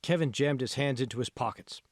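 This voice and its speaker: A man's voice: mid-range voice, a little fast, no echo, studio quality. A man reading scripted fiction narration as the author of an audiobook.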